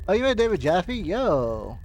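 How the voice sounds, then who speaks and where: A man's voice: sits high, very animated, a little slow, darkish tone, a little hiss or hum. A man streaming games, giving casual reaction commentary and reading game text aloud.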